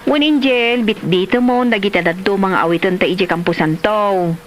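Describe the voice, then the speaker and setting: A woman's voice: mid-range voice, moderate pace, music in the background, natural voice. A woman with an Ilocano accent playing a village mother and exasperated wife in a comedy drama. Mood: motherly.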